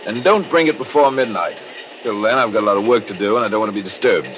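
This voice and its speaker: A man's voice: lowish voice, quick pace, lo-fi sound, noisy background, lightly acted. An actor playing a bitter, scheming husband who narrates his revenge in brooding, dramatic 1940s radio thriller style. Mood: tense, calculating. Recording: old radio recording with music behind.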